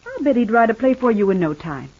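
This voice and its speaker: A muffled vintage radio recording carries a devoted, gentle wife in a soap opera. Her voice is husky and very expressive in pitch. She coaxes tenderly and earnestly, like a brave patient reassuring her husband. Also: fast talker. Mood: brightly curious. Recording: dull, band-limited old radio recording.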